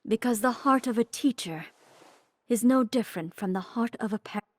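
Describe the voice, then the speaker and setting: A woman's voice: a little high, no room sound, lightly acted. Coolly rational strategist played by a woman. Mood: measured, sympathetic. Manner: measured, formal, blunt. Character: coolly rational strategist who weighs lives by odds. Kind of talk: dramatic video game acting.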